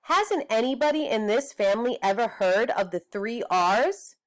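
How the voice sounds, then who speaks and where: A woman's voice: on the high side, animated, moderate pace, voice pushed up, close to an everyday voice. A woman reading a storybook as an indignant, bossy young girl with an exasperated, preachy manner. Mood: sarcastic, firm.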